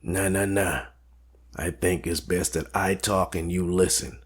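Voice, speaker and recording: A man acts out a smooth mob fixer for a crime audio drama. His voice is very deep with a rough, husky edge. He talks formally and deliberately, polite on the surface and coolly threatening underneath. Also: steady tone. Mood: cool, controlled menace.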